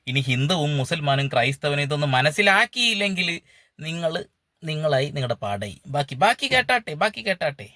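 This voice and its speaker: Bright, forceful Malayali man commentating. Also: on the high side, dry recording, clean studio sound. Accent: Kerala Malayali. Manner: mocking, disapproving. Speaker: video commentator. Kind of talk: political commentary to viewers.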